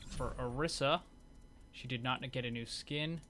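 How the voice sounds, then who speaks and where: A man's voice: medium pitch, unhurried. A man giving casual, unscripted commentary as host of a gaming video. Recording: clear background noise.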